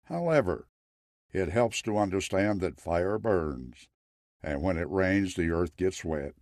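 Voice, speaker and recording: Guy reading as a weary wise old-school zen master in a story, deep voice, deliberate, dry sound. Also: animated, darkish tone, no echo. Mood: calm, wise. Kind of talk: storytelling character quote.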